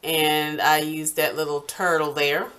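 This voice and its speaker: A woman hosting a nail art tutorial in casual, step-by-step talk, halting and pausing mid-sentence. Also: low voice, little pitch movement, fairly bright tone.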